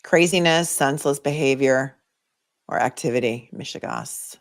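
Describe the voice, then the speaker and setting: A woman's voice: sits low, normal speed. A woman with a Boston accent hosting a legal livestream, chatting casually and thinking aloud as she answers viewer comments. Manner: conversational, opinionated, thinking aloud.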